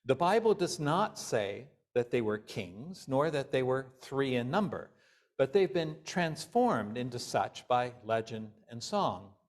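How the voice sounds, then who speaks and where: A male minister delivering a sermon in deliberate, measured phrases with pauses. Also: medium pitch, big pitch swings.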